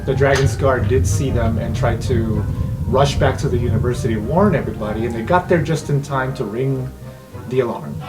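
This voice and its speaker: A male game master narrates an improvised fantasy scene. His voice sits in an ordinary middle range, with dramatic, deliberate pauses. Music plays clearly behind him. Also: mid-range voice, rough recording. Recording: background music under the voice.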